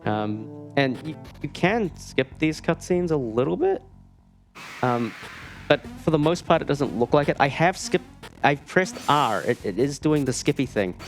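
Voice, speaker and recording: A man's voice: on the high side, sing-song, unhurried. A man giving casual live commentary while playing a video game, with wry asides and broken-off sentences. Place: gaming marathon event, streamed. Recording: game music behind the voice. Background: video game music.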